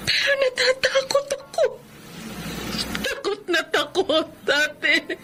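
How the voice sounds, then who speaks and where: A woman's voice: very high-pitched, very animated, slow, full character voice. An actress playing an anguished middle-aged mother in a Filipino radio drama, pleading and tearful, with a Filipino accent. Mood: fearful, anguished. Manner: pleading, sobbing. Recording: loud background noise and ambience.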